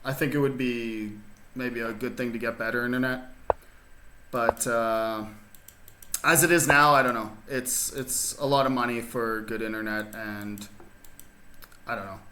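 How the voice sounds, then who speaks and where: A man's voice: mid-range voice, steady tone, measured pace, long pauses, background noise. A man chatting casually with viewers while streaming a chess game from his rural desert home, rambling and laid-back, full of fillers and restarts. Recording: roomy echo.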